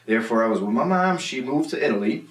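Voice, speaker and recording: A man's voice: mid-range voice, expressive. Serbian-born guy in his 30s chatting as a guest, lots of uh and um, echoey room, a bit far from mic. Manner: halting, many fillers and restarts. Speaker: guest DJ and producer. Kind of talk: casual interview chat. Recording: roomy, mic at a distance.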